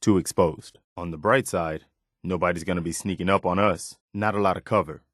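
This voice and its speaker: An actor playing an earnest, wary militia man in a post-apocalyptic video game, speaking in a measured, conversational way. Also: low voice, fairly even intonation, no room sound, studio quality. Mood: watchful, conversational. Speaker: video game companion character. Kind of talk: game voice acting, short spoken reactions.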